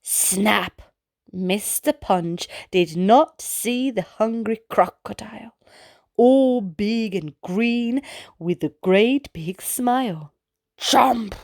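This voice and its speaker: A woman reading a children's picture book aloud as a storytime host, in an expressive way with exclaimed sound-effect words. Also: medium pitch, dry recording, studio quality. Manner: slow, deliberate delivery for young children.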